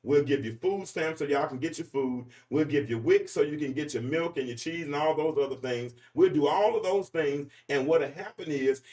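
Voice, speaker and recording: A man's voice: on the high side, a little mellow, far from the mic. Man in his forties, preaching off the cuff. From Arkansas, US. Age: 40s. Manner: preachy, emphatic, rambling with restarts. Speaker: podcast host. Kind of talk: freestyle commentary monologue.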